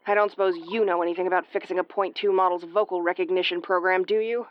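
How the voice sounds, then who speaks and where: A woman's voice: lowish voice, fairly even intonation, fast, clear, resonant tone, dry recording, lo-fi sound, lightly acted. A woman playing a brash, easily flustered starship commander with a tomboyish, brusque, sweary delivery in an audio roleplay. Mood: tense. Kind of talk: audio roleplay acting, spoken to the listener.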